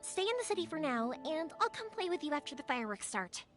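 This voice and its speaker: Bold young game heroine, very high voice, lively pitch, caring, earnest, light music behind. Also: female voice, quick pace. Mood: reassuring. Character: spirited, caring, bold young woman fireworks maker. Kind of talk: video game voice acting.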